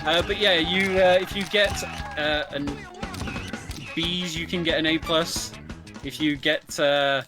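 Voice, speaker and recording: A man's voice: fairly high pitch, steady tone, a little slow. A man giving casual, chatty co-commentary on a video game, explaining it hesitantly with some light joking. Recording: game music behind the voice. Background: video game music.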